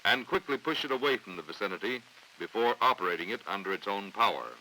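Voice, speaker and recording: An American man reading scripted training film narration in a formal, authoritative, measured way on a vintage 1950s soundtrack. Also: fairly low pitch, expressive, bright, forceful tone, slight background noise, low-quality recording. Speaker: documentary narrator.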